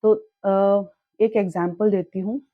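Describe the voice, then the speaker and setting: A young woman teaching in a calm, reassuring way, with a sore throat that makes her clear it often. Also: medium pitch, takes it slowly, no echo, narrow, tinny audio. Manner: calm, reassuring, mixes English words into her talk. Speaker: chakra healing teacher. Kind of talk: casual spoken tutorial.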